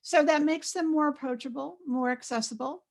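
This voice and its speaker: An older woman with a soft voice gives an informal online lecture in a conversational, hesitant way, pausing often. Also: high-pitched, vocal fry. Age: older, around 70. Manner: conversational, hesitant, with frequent pauses. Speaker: guest lecturer, art historian and curator. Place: home office, on a video call. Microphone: added external microphone on a computer.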